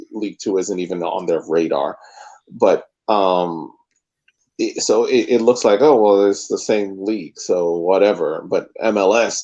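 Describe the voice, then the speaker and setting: A man with a low, mellow voice talks over a sports podcast, careful and hesitant, pausing often with "uh" and "um." The sound is dull and lo-fi, as if from a cheap mic. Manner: hesitant, measured, many "uh" and "um" pauses. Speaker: podcast co-host. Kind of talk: casual sports podcast chat.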